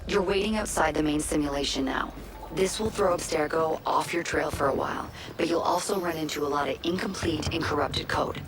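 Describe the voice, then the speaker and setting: A woman playing a brisk, wry hacker who guides an agent through a simulation in a video game. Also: deep voice, natural voice. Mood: calm, wry. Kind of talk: video game voice acting, mission guidance. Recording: loud music behind.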